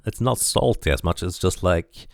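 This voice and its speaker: A man's voice: low-pitched, moderate pace, gravelly, a little mellow, no room sound, clean studio sound. A man streaming a casual playthrough, reading the game's narration aloud and chatting between passages. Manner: reads narration aloud, then chats casually and laughs.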